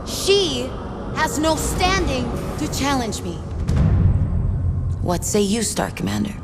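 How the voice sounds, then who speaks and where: A cold, imperious female commander in a sci-fi war game. Her voice sits fairly high and swings widely, yet she speaks slowly and cuttingly, with loud music behind her. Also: sing-song, heavily acted. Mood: scornful. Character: stern, cold female clan military commander. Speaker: actor in video game cutscene. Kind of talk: dramatic game cutscene acting. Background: loud dramatic music.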